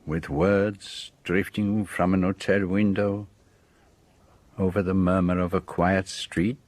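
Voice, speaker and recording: An actor playing a shrewd, precise Belgian detective with a French-speaking accent, formally laying out a case in a radio drama. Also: male voice, low-pitched, flat delivery, darkish tone, no room sound. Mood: measured, assured. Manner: measured, precise, formal explanation. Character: shrewd, precise, theatrical Belgian detective, middle-aged man.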